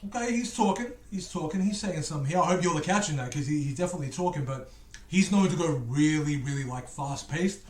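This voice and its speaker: A man's voice: on the high side, lively intonation, fast talker, echoey room. Chatty Englishman with an Australian accent. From England. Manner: chatty, joking, rambling asides to viewers. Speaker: music reactor. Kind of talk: casual reaction video chat.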